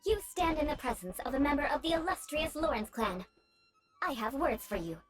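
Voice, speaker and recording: A woman's voice: sits high, expressive, brisk. An actress voicing a haughty, prim young noblewoman in a video game, speaking formally and imperiously with ornate phrasing. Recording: game music behind the voice.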